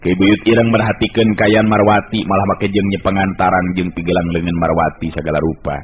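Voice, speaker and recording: Male radio storyteller, Sundanese accent, lowish voice, dramatic folk tale narration, narrow hissy recording. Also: little pitch movement, fairly quick.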